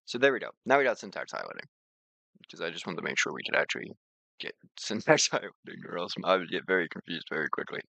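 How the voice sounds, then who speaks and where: Bright, low-voiced man explaining software. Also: sing-song, quick pace, gravelly, no echo. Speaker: software tutorial presenter. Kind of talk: informal screen-share tutorial.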